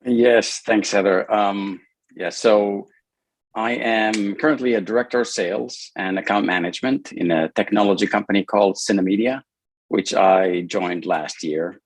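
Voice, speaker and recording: A man's voice: fairly low pitch, fairly even intonation, normal speed, dry recording. A man from Finland, a sales director and alumnus, answering interview questions over a video call, thoughtful and hesitant, with many fillers and restarts.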